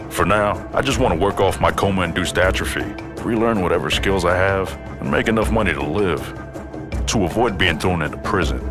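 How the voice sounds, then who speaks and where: A man voicing a battered, determined amnesiac wrestler who tells his story in a wry, conversational way for a video game. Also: low-pitched. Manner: wry, conversational, self-deprecating. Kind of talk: first-person game cutscene narration.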